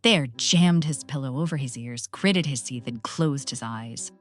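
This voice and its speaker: A woman storyteller giving an expressive read-aloud narration for children. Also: rumbling low, unhurried. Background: soft music.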